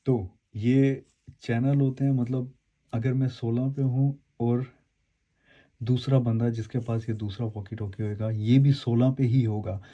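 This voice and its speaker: A man's voice: mid-range voice, steady tone, a little slow, dark tone, muffled recording. A man hosting a casual unboxing and review video, explaining to viewers in a rambling way and often repeating and correcting himself. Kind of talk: casual explanatory product demo, speaking to viewers.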